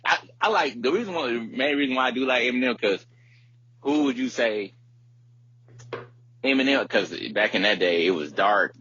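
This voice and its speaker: A man's voice: medium pitch, expressive, moderate pace, pauses often, bright, forceful tone, slightly rough. A man co-hosting a podcast in casual, slangy, profane chat, often repeating and circling back on his phrases. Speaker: podcast co-host. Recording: dull, lo-fi audio.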